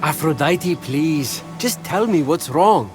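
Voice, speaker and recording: A man playing a sassy, gossipy messenger god in a video game, sarcastic and expressive, with music behind him. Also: a little high, slow. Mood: pleading. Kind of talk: animated video game acting.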